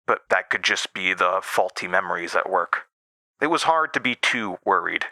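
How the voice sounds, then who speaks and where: A man giving a measured, reflective first-person narration in a scripted horror audio drama. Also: lowish voice, bright, forceful tone, no room sound.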